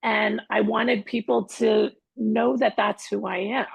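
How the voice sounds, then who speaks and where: Raspy, gravelly woman in a candid chat. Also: mid-range voice, little pitch movement, a little slow, dry recording. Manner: candid, forthright, speaks her mind. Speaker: acupuncturist and wellness practitioner, guest. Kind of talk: casual interview chat.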